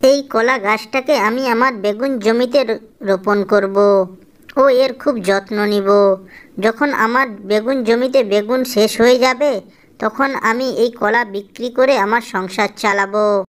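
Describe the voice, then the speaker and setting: A caring mother bird from a children's cartoon fable, acted by a woman. Her voice sits fairly high, and she speaks as a hardworking parent looking after her little one. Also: slow pace. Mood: kind. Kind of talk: Bengali children's cartoon dubbing.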